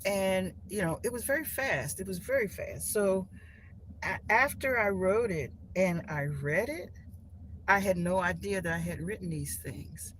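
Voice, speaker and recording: A woman's voice: mid-range voice, expressive, unhurried, a bit bright, rough recording. A woman hosting a live stream from her phone at home, talking casually and loosely about spiritual lessons, with many restarts and fillers. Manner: rambling, many restarts and "you know" fillers. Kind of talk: casual spiritual talk to viewers. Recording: clear background noise.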